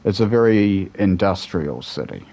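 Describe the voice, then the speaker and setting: A man's voice: deep voice, normal speed. A young man with a New Zealand accent, chatting casually and rambling as he answers viewers while playing a game from home. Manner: relaxed, rambling, answers chat between play. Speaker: streamer. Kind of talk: casual gameplay chat with live viewers.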